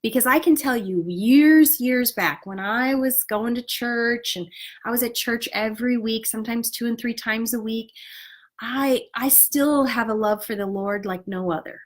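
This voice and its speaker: A woman with a fairly high, stuffed-up voice that comes out a little nasal. She speaks outdoors as a spiritual coach. Her talk is earnest and encouraging, dotted with "you know" and "right?". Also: unhurried. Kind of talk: casual motivational talk to an online group.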